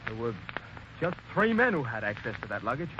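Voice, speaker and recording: A man plays a jittery, defensive suspect in an old radio mystery, tripping over his words. His voice is mid-pitched, on a dull, hissy broadcast. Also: big pitch swings, fairly quick, close to an everyday voice. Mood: nervous. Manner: stammering and jittery. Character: nervous, defensive male former employee. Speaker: actor. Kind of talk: 1940s radio acting.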